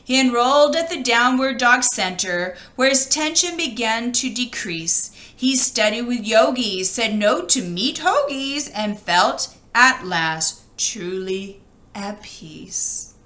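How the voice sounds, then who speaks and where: A woman reading a children's storybook aloud like a teacher, expressive and sing-song on the rhymes. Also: a little high, takes it slowly.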